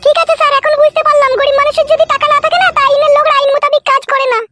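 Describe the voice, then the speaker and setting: A woman's voice: very high-pitched, little pitch movement, rapid-fire, bright, forceful tone, faint music behind. A woman voicing a pushy, indignant young village widow in a comedy cartoon, complaining insistently. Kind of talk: Bengali comedy cartoon dubbing.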